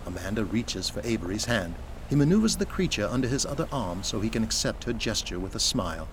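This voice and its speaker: A man's voice: fairly low pitch, noisy background. A man narrating an audio drama from a script, in present-tense storytelling. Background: music.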